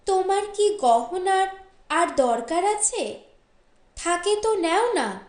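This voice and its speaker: A woman's voice: very high voice, big pitch swings, slow, a little mellow, pitched above the performer's own voice, full character voice. A woman voicing an anguished, devoted young wife in an audiobook story, earnest and pleading. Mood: anxious, tender. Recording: roomy echo.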